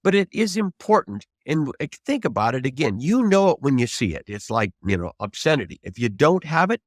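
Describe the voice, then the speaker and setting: A man in his seventies, a trial lawyer teaching advocacy in an emphatic, deliberate, conversational way with rhetorical prompts. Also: mid-range voice, sing-song, dry recording, clean studio sound. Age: 70s. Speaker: trial lawyer, interview guest.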